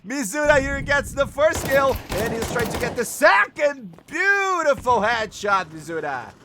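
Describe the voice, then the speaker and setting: A man from Brazil with a Brazilian Portuguese accent, a native Portuguese speaker casting an esports match alone in English, excitable on plays and chatty in between. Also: very high-pitched, noisy background. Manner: excitable, quick bursts on plays, chatty asides and laughs. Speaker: esports caster. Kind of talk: solo game commentary and chat reading.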